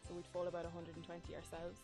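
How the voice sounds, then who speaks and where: Low-voiced young woman. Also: completely flat delivery, very fast. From Ireland. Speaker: trainee stud worker. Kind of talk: casual interview answers. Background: clear background music.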